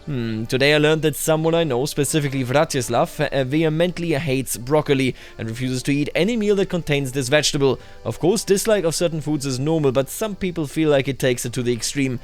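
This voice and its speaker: A man hosting a roleplay game video, commenting in a measured, deliberate way with emphatic repetition, his voice slightly tender. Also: a little high, faint music behind. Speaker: gaming video host.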